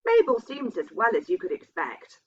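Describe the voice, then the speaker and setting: Woman reading as a husky, wistful housekeeper. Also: medium pitch, huge pitch swings, moderate pace, pitched above the performer's own voice. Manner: reflective, confiding. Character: sensible housekeeper wistfully recounting her past. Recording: dull, muffled lo-fi sound.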